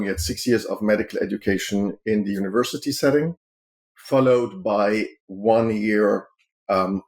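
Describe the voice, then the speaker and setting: A middle-aged man from Germany with a lowish voice gives long interview answers. He explains step by step in a measured way, with ums and uhs between his points. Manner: measured, explanatory, with frequent fillers. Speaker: healthcare consultant.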